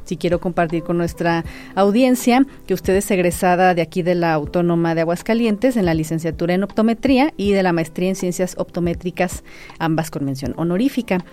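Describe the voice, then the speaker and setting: A woman's voice: lowish voice, fairly quick, dark tone. A woman with a Mexican accent hosting a radio interview in a formal, courteous, ceremonious way. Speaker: radio programme host.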